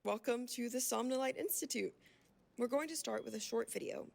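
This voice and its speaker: An actress playing a friendly, reassuring clinic staffer in a radio drama, speaking in a polished, welcoming way. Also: female voice, a little high, a little fast, vocal fry. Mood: welcoming, upbeat. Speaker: staff member welcoming a patient. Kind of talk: radio drama acting, conversational.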